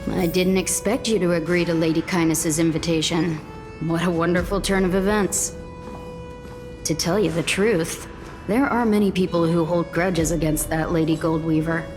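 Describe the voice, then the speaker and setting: A woman's voice: fairly low pitch, steady tone, moderate pace, natural voice. A woman playing a courteous messenger in a video game, speaking with polite, formal composure. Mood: pleased, confiding. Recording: long reverb, music behind.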